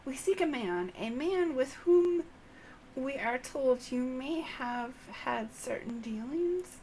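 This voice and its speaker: Over faint background noise, a woman reads the part of an earnest young nobleman in a fantasy game. The delivery is polite and formal, slow, and pitched fairly high. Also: expressive, a little mellow, full character voice, low-quality recording. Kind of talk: game dialogue read aloud.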